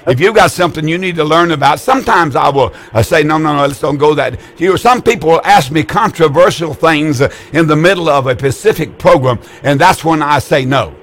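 An older man in his eighties preaches to callers on the air. His voice sits a little high for a man, and he talks in a folksy, repetitive way, stumbling over words and restarting often. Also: fairly quick, few pauses, a bit bright. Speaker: radio preacher taking calls. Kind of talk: call-in religious broadcast.

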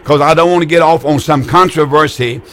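An older man in his eighties preaches to callers on the air. His voice sits a little high for a man, and he talks in a folksy, repetitive way, stumbling over words and restarting often. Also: brisk, a bit bright, slight background noise. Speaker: radio preacher taking calls. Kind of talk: call-in religious broadcast.

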